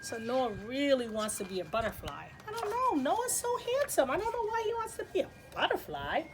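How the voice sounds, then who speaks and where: Animated woman reading a picture book. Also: sits high, a little fast, over music, poor audio. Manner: expressive, chatty, addressing children. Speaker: children's story time host. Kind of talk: picture-book read-aloud with casual asides.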